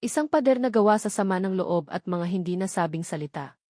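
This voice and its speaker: A woman's voice: mid-range voice, lively intonation, a little fast, darkish tone, no room sound. Filipino woman narrating romance, dry recording. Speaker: audiobook narrator. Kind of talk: scripted dramatic romance story narration.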